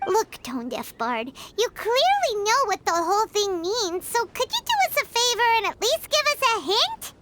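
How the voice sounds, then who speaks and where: A woman voicing a tiny, childlike fairy companion in a video game, squeaky, perky and cheeky. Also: very animated, moderate pace, few pauses, heavy background noise. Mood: skeptical, indignant. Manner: perky, refers to self in third person. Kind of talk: animated video game character acting. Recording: loud music behind the voice.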